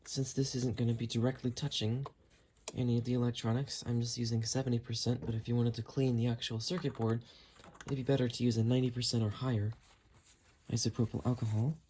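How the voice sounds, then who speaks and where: A man's voice: medium pitch, little pitch movement, fast, warm tone, slight background noise. A man giving a casual how-to narration, explaining each step as he works with his hands. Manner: explanatory, talking while working with hands. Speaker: host of a how-to cleaning video.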